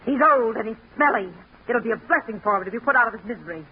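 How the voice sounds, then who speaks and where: An actress playing a nagging, whining, bitter wife in 1940s radio drama style. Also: female voice, fairly high pitch, lively intonation, quick pace. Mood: nagging, peevish. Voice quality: whiny, husky. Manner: scolding, complaining. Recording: dull, lo-fi 1940s radio recording.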